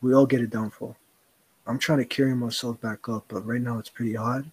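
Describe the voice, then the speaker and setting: A man's voice: medium pitch, steady tone, brisk, darkish tone, husky. A young man co-hosting a podcast, talking earnestly in casual chat, rambling with slang and swearing. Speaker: podcast co-host.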